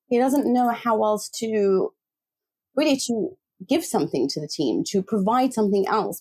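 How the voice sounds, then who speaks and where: A woman's voice: mid-range voice, warm tone, slightly rough. A woman co-hosting a football podcast, chatting about players and weighing options aloud in a casual way. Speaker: podcast co-host. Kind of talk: casual football analysis chat.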